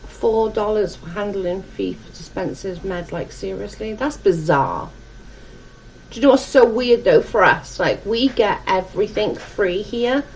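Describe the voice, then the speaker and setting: A woman's voice: medium pitch, lively intonation, measured pace. Welsh woman chatting over muffled, noisy audio. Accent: South Welsh. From South Wales. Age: 40s. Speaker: livestream host. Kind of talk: casual chat with live viewers, reading out comments. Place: dim indoor room at home. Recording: muffled, clearly noisy room recording.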